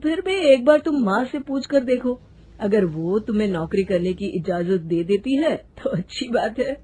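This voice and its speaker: A woman's voice: mid-range voice, expressive, moderate pace, warm tone, faint music behind, natural voice. A woman voicing a reasonable, cautious husband in a moral story. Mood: calm, cautious.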